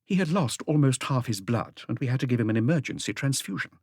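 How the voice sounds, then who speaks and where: A man's voice: medium pitch, expressive, fast talker, a little mellow, no echo, studio quality, voice pushed up, close to an everyday voice. A man voicing a stern, disagreeable hospital matron in an audiobook, curt, imperious and withering. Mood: frosty, dismissive. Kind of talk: audiobook character voice.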